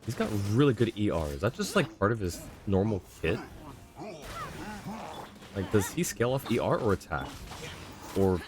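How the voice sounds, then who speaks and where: Deep-voiced, animated man streaming a game. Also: moderate pace, pauses often. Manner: playful muttered reactions to the game. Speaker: video game live streamer. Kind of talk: casual live gameplay commentary. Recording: music behind the voice. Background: music.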